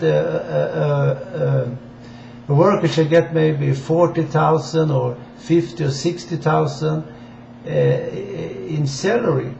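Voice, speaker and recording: A man from Sweden with a Swedish accent gives an informal political address in halting, deliberate non-native English. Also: a little high, creaky, darkish tone. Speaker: amateur political campaigner addressing viewers. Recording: dull, echoey room with faint hiss.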